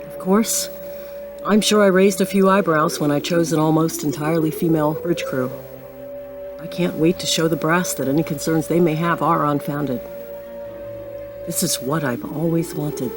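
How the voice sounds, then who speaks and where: A woman playing a confident, newly appointed starship captain in a science fiction audio drama, composed and authoritative. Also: sits low.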